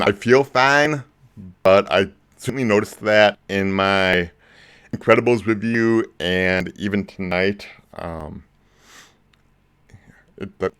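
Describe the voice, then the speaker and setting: A man in his forties hosting a casual live stream about movies, talking in a rambling way with lots of ums and restarts, his voice a bit lower than usual. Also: fairly bright tone, clear, resonant tone. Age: 40s. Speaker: movie channel host.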